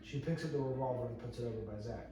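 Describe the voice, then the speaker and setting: A man's voice: mid-range voice, racing. Man narrating a script in an echoey room. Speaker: narrator reading stage directions. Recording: very echoey room, distant mic, noisy.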